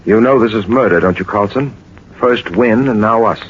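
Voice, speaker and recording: An actor playing a cool, quick-thinking adventurer captain in a 1940s radio drama, giving crisp orders. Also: male voice, lowish voice, lightly acted. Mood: defiant. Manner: crisp, commanding. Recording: old muffled radio recording, heavy noise.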